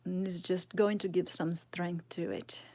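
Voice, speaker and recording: Brazilian woman doing a live sculpting class, slow easygoing talk, trails off into murmurs. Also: on the low side, fairly even intonation, a little mellow. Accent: Brazilian Portuguese. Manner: slow, thinking aloud, drops to murmurs while working. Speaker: sculpting teacher streaming live. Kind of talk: casual live tutorial, answering chat.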